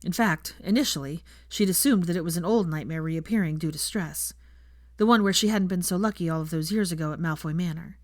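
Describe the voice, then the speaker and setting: A woman narrates fiction from a script. Her voice sits a little low for a woman. The recording is dry, with hardly any room sound. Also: fairly quick, no echo.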